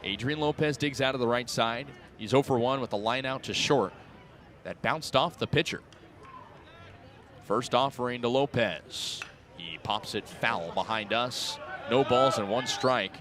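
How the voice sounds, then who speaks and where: A young adult man works as a baseball radio announcer, giving live play-by-play in clipped, descriptive phrases. His voice sits at an ordinary male pitch and follows the action closely. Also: unhurried. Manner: clipped descriptive phrasing, rising excitement on plays. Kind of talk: live radio sports commentary.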